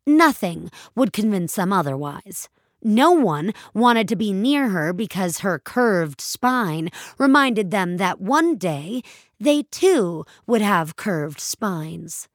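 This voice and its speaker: A woman's voice: mid-range voice, very animated, slow, no room sound. A woman reading scripted dramatic fiction as a narrator, recorded close on a studio mic.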